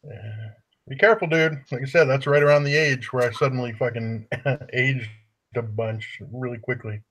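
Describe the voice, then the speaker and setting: Husky, bright-toned joking man. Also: mid-range voice, moderate pace, poor audio. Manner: joking, crude, laughs often. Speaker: podcast co-host. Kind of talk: casual chat.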